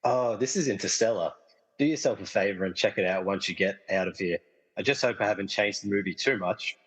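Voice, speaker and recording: Echoing low husky actor as an easygoing guy. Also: a little fast. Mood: casual, explanatory. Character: easygoing movie-loving man trapped inside a film. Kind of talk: amateur comedy radio play dialogue. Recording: long reverberant hall echo.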